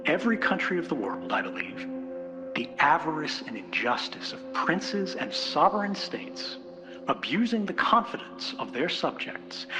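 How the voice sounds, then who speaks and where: A man speaks earnestly to a conference in a medium-pitched voice with wide, expressive swings. He is reflective and builds his points through repetition and rhetorical questions. Music plays clearly underneath. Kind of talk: political keynote speech. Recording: music bed behind speech.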